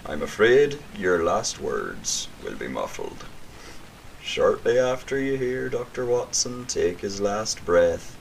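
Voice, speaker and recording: An actor playing a sinister criminal mastermind in an audio drama, taunting a captive in formal, theatrical language. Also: male voice, lowish voice, big pitch swings, takes it slowly, vocal fry, slight background noise, heavily acted, low-quality recording. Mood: coldly taunting.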